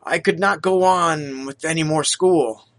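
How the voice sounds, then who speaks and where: Man playing a high-voiced, grateful young street vendor, humble and eager. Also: unhurried, fairly bright tone.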